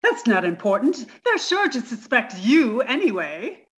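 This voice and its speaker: A woman's voice: fairly high pitch, very animated, measured pace. A woman playing an anxious, scheming adulterous wife in an old-time radio comedy mystery. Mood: urgent, scheming.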